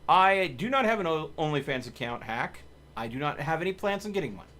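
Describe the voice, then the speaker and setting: A man's voice: on the high side, expressive, fairly quick, pushed and bright, a little hiss or hum. A man hosting a live game stream, chatting casually and commenting on his play, with game music behind him. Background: video game music.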